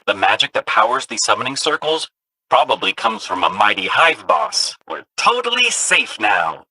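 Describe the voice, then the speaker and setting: Brief: an excitable game robot sidekick, bright and gravelly. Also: male voice, medium pitch, normal speed, no echo, full character voice, low-quality recording. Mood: excited. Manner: excitable, swooping delivery. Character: chirpy robot companion, upbeat and nerdy.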